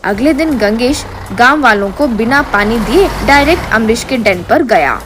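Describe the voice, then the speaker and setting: A woman's voice: on the high side, animated, normal speed, music in the background. A woman narrator reading a scripted comic moral story.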